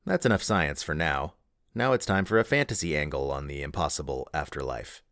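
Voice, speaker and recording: A man's voice: a bass voice, fairly quick, fairly bright tone, no room sound, clean studio sound. A man reading scripted announcements and credits as the host of an audio play showcase. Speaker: festival announcer.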